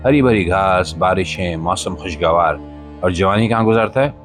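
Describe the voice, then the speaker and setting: A man narrates a scripted spoken-word monologue in a solemn, emphatic, rhetorical manner, with short pauses between phrases. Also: low voice. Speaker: podcast host and voiceover narrator. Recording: voice-over with music behind.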